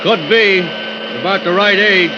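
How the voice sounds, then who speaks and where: An actor playing a grumbling, by-the-book hotel desk clerk with a folksy, querulous manner in a 1950s radio drama. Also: male voice, sits high, steady tone, measured pace. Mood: loud, puzzled. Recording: muffled old radio, faint noise.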